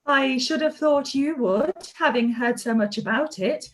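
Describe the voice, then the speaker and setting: An actress playing an expressive, warm middle-aged aunt in a radio play reading. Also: female voice, sits high, a little slow, a little hiss or hum. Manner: gushing, expressive. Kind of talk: live-read radio play.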